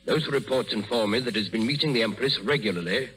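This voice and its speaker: An actor playing a stern, suspicious emperor, clipped and interrogating, in a 1930s radio drama. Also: male voice, mid-range voice, quick pace, fairly bright tone, a little hiss or hum, lightly acted, low-quality recording. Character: stern suspicious middle-aged male emperor.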